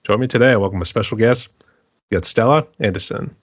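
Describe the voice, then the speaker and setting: A man's voice: lowish voice, fast talker, dry recording, highs cut off. An American man hosting a music interview podcast, rambling a little and leaning on filler phrases as he asks questions. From United States. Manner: rambling, repeats filler phrases like "here, too". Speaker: podcast host.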